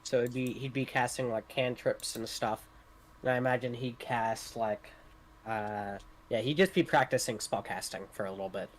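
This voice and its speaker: Tentative man with a medium-pitched voice. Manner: tentative, halting. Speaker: tabletop game player. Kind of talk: casual third-person roleplay description.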